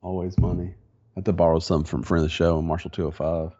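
A man's voice: low voice, flat delivery, quick pace, warm tone, husky. A man with a slightly congested voice chatting in a laid-back, slangy way as a podcast co-host. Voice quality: congested, stuffy nose. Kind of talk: casual chat. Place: small home office in a converted barn. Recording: dull, lo-fi sound.

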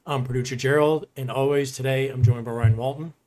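Guy co-hosting a casual podcast, pretty monotone delivery, mid-range voice. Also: mid-range voice, a little fast. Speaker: podcast producer and co-host.

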